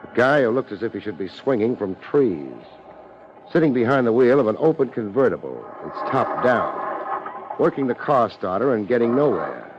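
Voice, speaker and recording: An actor with an American accent playing a laid-back, sardonic private detective in a 1950s radio drama, deadpan and wisecracking. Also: male voice, medium pitch, moderate pace, close to an everyday voice. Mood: dry narration. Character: wry, laid-back private detective narrating his case. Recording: muffled, hissy 1950s radio recording.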